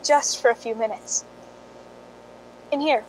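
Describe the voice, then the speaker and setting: Woman playing a high-voiced, courteous hospital nurse. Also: measured pace, lightly acted. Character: polite, matter-of-fact hospital nurse. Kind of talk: radio drama acting. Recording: faint rumbling background noise.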